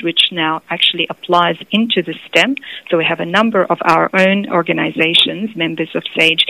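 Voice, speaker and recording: An aged woman answers interview questions in a slow, deliberate way. Her voice sits low and carries a gravelly texture. She lays out long policy explanations step by step. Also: low-quality recording. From Middle East. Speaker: interview guest, gender equity program lead.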